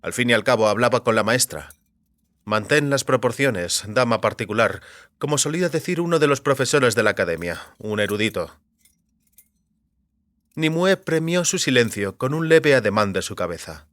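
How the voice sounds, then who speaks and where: A man reading scripted literary narration for an audiobook with measured, deliberate phrasing. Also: mid-range voice, animated, fairly bright tone, dry recording, studio quality. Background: faint music.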